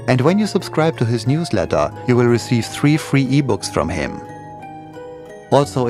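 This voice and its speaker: A man reading a scripted podcast outro as the host. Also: mid-range voice. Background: prominent music.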